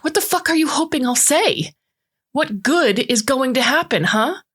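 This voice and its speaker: A woman's voice: medium pitch, expressive, unhurried, breathy edge. A woman voicing a hurt, angry young woman in a lovers' quarrel, emphatic and accusing, in dramatized story dialogue. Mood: furious.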